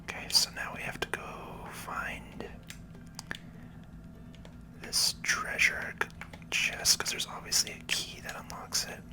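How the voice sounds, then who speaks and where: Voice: takes it slowly, long pauses. Casting: ASMR game host, fully whispered delivery, casual explanatory commentary on gameplay. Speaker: host, gaming video creator.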